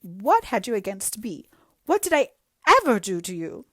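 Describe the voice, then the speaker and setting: A woman's voice: medium pitch, very animated, moderate pace, fairly bright tone, no echo, clean studio sound, voice pushed up. A woman reading a book aloud and voicing a melodramatic, self-pitying young woman with gushing, theatrical talk. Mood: wailing. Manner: gushing, theatrical, breathless overstatement.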